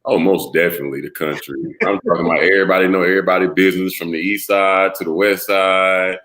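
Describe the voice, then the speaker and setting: Interview guest, man, thirties, very low voice, relaxed and open. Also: fast. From South Carolina. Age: 30s. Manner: relaxed, talks openly, breaks into laughs. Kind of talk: casual interview.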